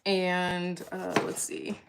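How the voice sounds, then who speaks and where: A woman's voice: fairly low pitch, monotone, extremely slow, slight background noise. A young woman hosting a livestream, chatting casually and giggling while she cooks and answers viewer comments. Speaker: livestream cooking host.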